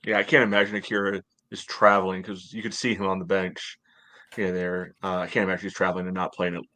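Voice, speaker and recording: A man's voice: low voice, level tone, quick pace, sharp tone. A middle-aged American man co-hosting a podcast, casually analyzing sports from home over a video call. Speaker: podcast co-host.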